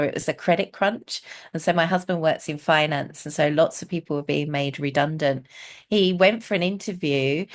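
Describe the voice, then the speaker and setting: A middle-aged British woman chatting as an interview guest, laughing often and filling pauses with ums. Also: sits low. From Britain. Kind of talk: casual interview conversation.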